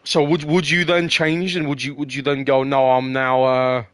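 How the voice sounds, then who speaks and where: A man's voice: medium pitch, little pitch movement, normal speed, fairly bright tone, no echo. A man from England in casual, argumentative chat, swearing and often repeating words as he makes his point.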